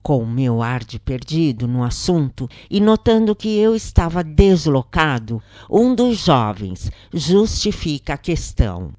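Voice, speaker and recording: A Brazilian woman reading a scripted story as a narrator, in measured, deliberate phrases with pauses. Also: sits low, sing-song, darkish tone, no echo, clean studio sound. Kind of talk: scripted audiobook-style narration of a spiritist account.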